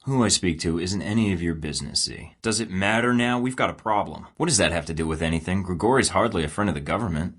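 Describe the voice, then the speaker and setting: A sardonic secret agent, his voice very low yet bright and pushed forward. He trades dry, sarcastic quips in clean studio game voice lines. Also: quick pace, few pauses, breathy edge. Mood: wry. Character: wry male spy agent, adult. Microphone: studio mic.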